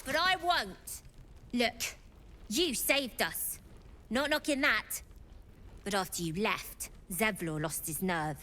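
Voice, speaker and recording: High, bright street girl. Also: expressive, slow pace, pauses often, exaggerated voice. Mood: stubborn, protective. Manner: cheeky, informal street grammar. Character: scrappy, defiant streetwise young gang-leader girl. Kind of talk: video game character acting. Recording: music behind the voice.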